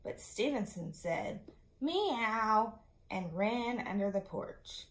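A woman in her thirties, a schoolteacher reading a picture book aloud to young children in an expressive, teacherly way, often putting questions to the listeners. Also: medium pitch, measured pace, creaky. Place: small indoor classroom-style room. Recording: echoey room.

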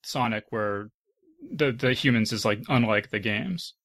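A man's voice: medium pitch, animated, unhurried, a bit bright, no room sound. A man talking casually about films as a podcast panelist. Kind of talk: casual film discussion.

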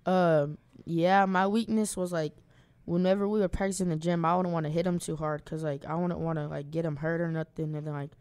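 A man's voice: on the high side, fairly even intonation, fairly quick, a little mellow, clean tone, dry recording. A teenage boy football player answering pregame questions hesitantly, often starting with "um". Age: teens. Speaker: high school football player, guest. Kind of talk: casual pregame interview.

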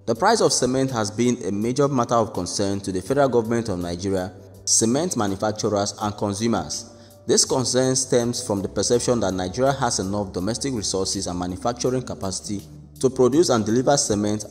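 A man narrating a scripted news report in a steady, even read-aloud delivery. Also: on the low side, fairly quick. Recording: long reverb with faint background music.

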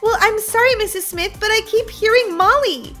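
A woman's voice: very high voice, moderate pace, bright, forceful tone, soft background music, voice pushed up. A woman voicing an anxious, melodramatic schoolgirl in a plaintive way. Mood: sad. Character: anxious, dramatic schoolgirl grieving her best friend.

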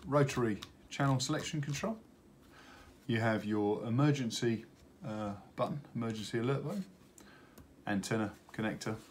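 A middle-aged man giving an unscripted product unboxing walkthrough, speaking haltingly with many hesitations. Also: medium pitch. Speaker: product presenter for a radio retailer. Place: indoors at a wooden table in an office. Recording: steady background room noise.

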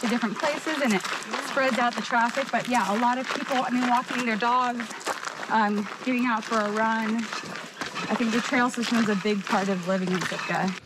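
A woman's voice: fairly high pitch, moderate pace, very noisy. Woman trail guide explaining plants outdoors. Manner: relaxed, with fillers.

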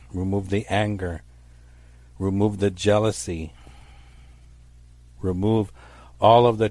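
A man's voice: low voice, a little mellow, no room sound. A middle-aged man preaching a stern, accusatory fire-and-brimstone sermon in an emphatic, declamatory way.

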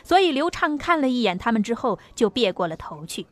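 A woman's voice: a little high, big pitch swings, a little fast. A woman narrating a novel as an audiobook, reading a dramatic script. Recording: music under the voice.

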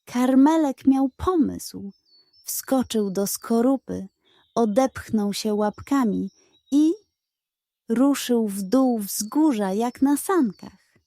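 Slow, soft-toned woman storyteller. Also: a little high, dry recording, clean studio sound. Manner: expressive, carefully enunciated storytelling. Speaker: narrator. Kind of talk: scripted children's bedtime story narration.

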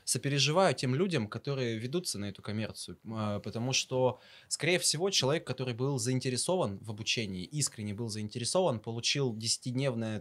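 A young man speaks as a podcast host in a conversational interview. His voice sits at an average pitch, and he builds long, searching sentences. He is recorded on a close microphone in a small studio. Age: young adult. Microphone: boom-mounted studio microphone up close.

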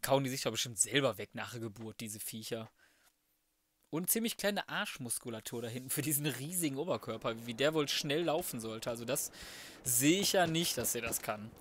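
A man's voice: mid-range voice, lively intonation, moderate pace, a bit bright, dry recording. A young man giving casual, slangy, flippant let's play commentary. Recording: faint noise, game sounds behind. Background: video game ambience.

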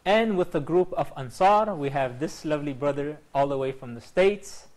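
Quiz show guy in a tv studio, bit high voice, lapel mic, upbeat. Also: normal speed, a little mellow, poor audio. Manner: upbeat, formal greetings, reads clues aloud. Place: TV studio set.

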